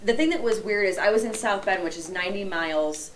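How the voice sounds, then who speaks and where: A woman's voice: mid-range voice, slight background noise. Woman, Chicago accent, chatty radio co-host. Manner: chatty, quick to agree. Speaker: radio show co-host, former TV news anchor. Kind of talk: casual radio talk show chat.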